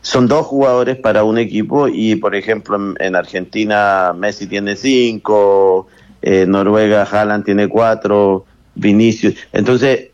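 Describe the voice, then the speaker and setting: A man's voice: on the low side, steady tone, a little slow, a little mellow, muffled recording. A man serving as coach pundit who analyzes football with a hesitant delivery full of restarts. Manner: hesitant, many fillers and restarts. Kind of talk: sports analysis.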